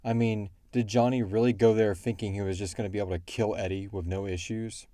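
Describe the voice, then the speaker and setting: A man's voice: lowish voice, fairly even intonation, brisk, dark tone, dry recording, clean studio sound. A man hosting a casual question-and-answer podcast, talking in an informal, joking, slangy way. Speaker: podcast host and show creator. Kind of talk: casual Q&A discussion.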